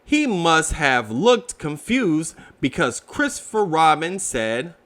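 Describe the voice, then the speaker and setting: A man's voice: fairly high pitch, big pitch swings, slow, fairly bright tone. A man narrating a children's story as a read-aloud.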